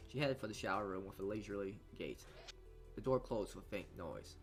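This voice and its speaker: Animated man narrating a visual novel. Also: lowish voice, brisk, faint music behind. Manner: stumbles over words and re-reads phrases. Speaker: let's play host.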